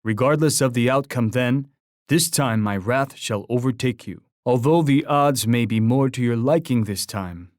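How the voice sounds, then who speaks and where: An actor playing a proud, warlike chief in a video game, speaking in solemn, formal, poetic oratory. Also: male voice, medium pitch, moderate pace, no echo, studio quality, close to an everyday voice. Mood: menacing, scornful. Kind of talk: scripted video game character voice lines.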